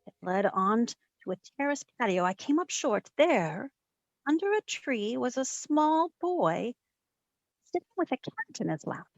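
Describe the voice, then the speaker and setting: A woman playing a sassy, quick-witted private detective, narrating her case in old-time radio drama style. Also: a little high, sing-song, no echo, muffled recording. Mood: wry, suspenseful. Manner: wry, wisecracking.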